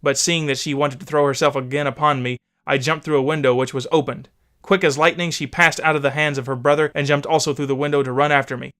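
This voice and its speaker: A man narrating an old memoir as a dramatic audiobook, solemn, measured and theatrical. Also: a little high, steady tone, fairly bright tone.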